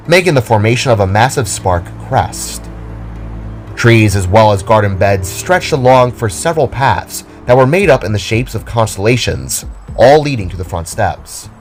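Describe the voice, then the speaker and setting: A man's voice: on the low side, expressive, moderate pace. A man reading scripted story narration as the narrator of an audio drama, with music behind him. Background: background music.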